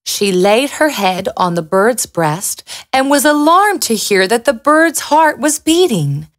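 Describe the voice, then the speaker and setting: Slow, expressive woman storyteller. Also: on the high side. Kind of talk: scripted children's fairy-tale narration.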